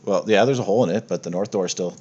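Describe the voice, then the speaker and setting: A man narrating a tabletop horror game as game master, improvising in a casual, halting, descriptive way. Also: deep voice, darkish tone, husky, muffled recording. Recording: noisy home mic on online game.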